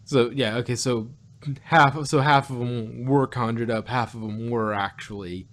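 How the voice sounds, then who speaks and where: A man co-hosting a review podcast in casual, unscripted chat, rambling and often rephrasing himself with animated asides. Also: mid-range voice, unhurried. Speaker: podcast co-host reviewing audio dramas.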